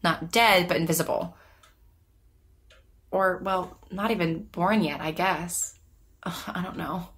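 An actress playing an anxious, lonely woman in her twenties, speaking haltingly and self-deprecatingly in a naturalistic audio drama. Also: fairly low pitch, fairly bright tone. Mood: guarded, matter-of-fact. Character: anxious, lonely young woman who vanishes through time.

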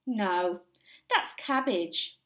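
Woman voicing a proud old grandfather, lively delivery. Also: mid-range voice, very slow. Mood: matter-of-fact.

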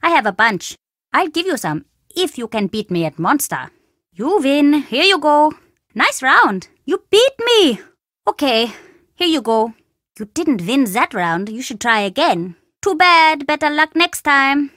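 Game role: woman as mischievous young boy. Lively swooping delivery, high-pitched, cheeky, dry close recording. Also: measured pace, no echo, heavily acted. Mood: playful. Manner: childlike, cheeky. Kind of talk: video game character acting.

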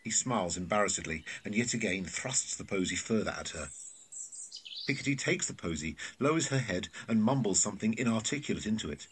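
A man's voice: lowish voice, expressive, a little fast, slight background noise. A man reading scripted stage directions as narrator of an audio drama.

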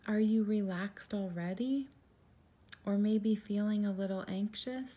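A woman leading a guided meditation in a soft, soothing, gentle and unhurried voice, breathy at times. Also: mid-range voice, lo-fi sound.

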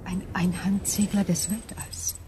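An actress playing an ancient alien crystal being in a radio play, calm and coolly logical, speaking in measured, formal phrases. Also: female voice, mid-range voice, in a whisper, natural voice. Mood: calm, eerie. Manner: measured, formal, precise diction. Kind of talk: German radio drama acting.

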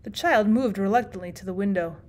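A woman's voice: mid-range voice, fairly quick, a little mellow. A woman reading aloud like a teacher, in scripted audiobook narration of a classic short story.

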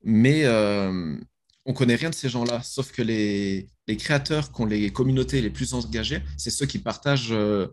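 A young man from France talks about his app in a relaxed, slangy way, often restarting his sentences. His voice sits at a middle pitch. He is heard through a headset from a small bedroom. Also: normal speed, a little hiss or hum. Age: young adult. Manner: casual, slangy, many restarts. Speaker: app founder guest. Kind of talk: casual podcast interview. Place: small bedroom with gaming chair.

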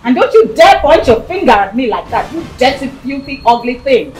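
A woman's voice: high-pitched, very animated, fairly quick, faint music behind. A woman with a Nigerian accent playing a haughty, spiteful young princess in a screen drama, scolding and ordering people about with contempt. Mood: haughty, scornful.

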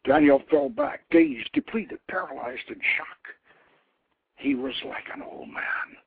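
An older man reading a tale aloud in a solemn, theatrical, measured way, as a host telling a story. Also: fairly high pitch, wildly swooping pitch, vocal fry. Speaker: show host reading his own novelette. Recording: phone-like radio line with background noise.

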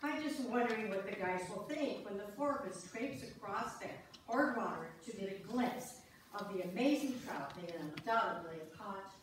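A woman playing a wry, skeptical older friend with dry, deliberate delivery in amateur reader's theater. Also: medium pitch, lively intonation, close to an everyday voice. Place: small church, recorded from audience pews. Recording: echoey hall, far off, noisy.

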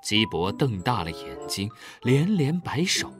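A man's voice: medium pitch, very animated, unhurried, music in the background. A man narrating a scripted children's story expressively.

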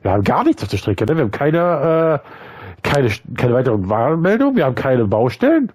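A man's voice: medium pitch, very animated, fairly quick, breathy edge, no echo, studio quality. A man in his thirties from eastern Germany with a slightly scratchy voice from a cold, chatting casually to viewers while playing a game and thinking aloud. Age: 30s. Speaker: gaming channel host. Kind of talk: casual let's play commentary. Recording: loud engine and road noise.

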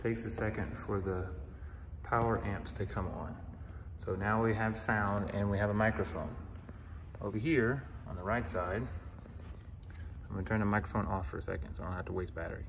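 A man's voice: fairly low pitch, fairly even intonation, normal speed. A man giving a casual hands-on walkthrough as an instructor, in short plain step-by-step instructions, recorded on a handheld phone in an indoor booth. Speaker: instructor demonstrating equipment. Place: auditorium control booth, indoors. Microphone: handheld phone filming the equipment. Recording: noisy handheld phone recording with room ambience.

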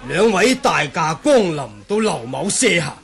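A conciliatory martial-arts gentleman in a radio drama. He is polite and placating, with a lively, fairly high voice and faint crowd murmur behind. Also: measured pace, vocal fry, full character voice. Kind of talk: Cantonese radio drama acting.

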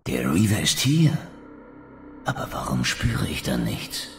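An actor playing a bitter, solemn undead wraith in a video game, speaking in a grave, formal, theatrical way. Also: male voice, a bass voice, huge pitch swings, a little slow, gravelly, noisy background, exaggerated voice. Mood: wary, sombre. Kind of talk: dramatic scripted game dialogue and narration.

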